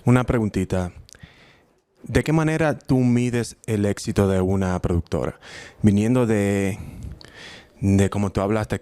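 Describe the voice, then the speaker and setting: A man's voice: fairly low pitch, dark tone, dry recording. A man from the Dominican Republic with a Dominican accent, asking a spontaneous question as an audience member.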